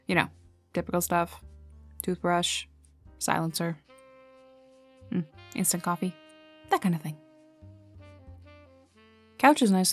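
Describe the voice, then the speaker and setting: A woman's voice: lowish voice, darkish tone. A woman playing a sarcastic female private detective in a noir ASMR roleplay, with a dry, casually streetwise delivery. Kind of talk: noir ASMR audio roleplay, intimate second-person acting. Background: music, rain and city ambience.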